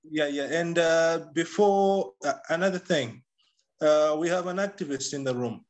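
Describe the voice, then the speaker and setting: A man's voice: a little high, moderate pace, a little hiss or hum. A man on a video call breaking in hesitantly and apologetically, with many uhs. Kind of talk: online meeting talk.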